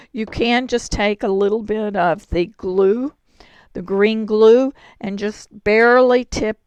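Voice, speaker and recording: A woman with a hoarse voice, explaining a card-making project step by step in a chatty way. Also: medium pitch, no echo. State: hoarse, throat-clearing. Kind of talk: crafting tutorial.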